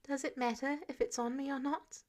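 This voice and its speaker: A woman's voice: high-pitched, steady tone, brisk, pitched above the performer's own voice, lightly acted. A woman voicing an anxious, guilt-ridden teenage superhero boy in a story reading, hesitant and subdued. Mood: uneasy. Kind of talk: character dialogue in fan fiction reading.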